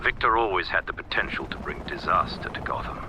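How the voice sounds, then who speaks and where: A woman playing a calm, grave mentor figure in a video game. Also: rumbling low, fairly quick, noisy background. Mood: grave, reflective. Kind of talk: video game cutscene acting.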